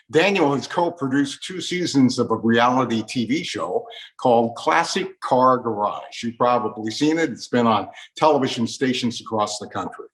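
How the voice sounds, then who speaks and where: A man's voice: medium pitch, low-quality recording. A man with an American accent hosting a talk program, delivering scripted introductions like a radio presenter. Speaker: host.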